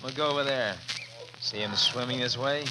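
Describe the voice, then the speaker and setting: An actor playing a greedy, cold-blooded nephew with a sardonic, smooth-talking manner in a 1940s radio drama. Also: male voice, mid-range voice, moderate pace, lightly acted. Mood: coldly sardonic. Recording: noisy old 1940s radio recording.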